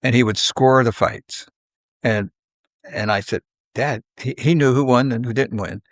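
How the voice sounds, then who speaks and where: An older man reminisces conversationally, in halting, unhurried phrases, often acting out bits of dialogue. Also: medium pitch, no echo, clean studio sound. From South Philadelphia, United States. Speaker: podcast guest.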